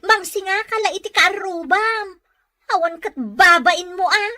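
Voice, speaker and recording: Audio drama role: proud village housewife played by a woman, Ilocano accent, high, bright, sharp-tongued and brisk. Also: no echo, clean studio sound, full character voice. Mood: irritated, scolding. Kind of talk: Filipino audio drama dialogue.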